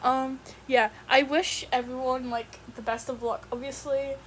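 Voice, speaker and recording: A young woman from Poland introduces herself with a high, bright voice. She is playful and repeats her points for emphasis. A faint hiss sits under the recording. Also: level tone, a little slow. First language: Polish. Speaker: contestant. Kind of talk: casual self-introduction.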